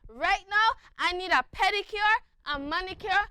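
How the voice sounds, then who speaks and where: A woman's voice: very high-pitched, normal speed, sharp tone. A woman acting a weary, pleading battered wife and mother with a Belizean accent in an amateur dramatization. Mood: weary, defiant.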